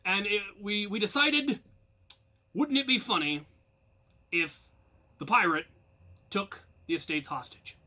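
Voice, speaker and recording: An American man telling a casual, rambling personal story in an animated, self-deprecating way. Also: high-pitched, a little slow, long pauses. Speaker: storyteller and channel host.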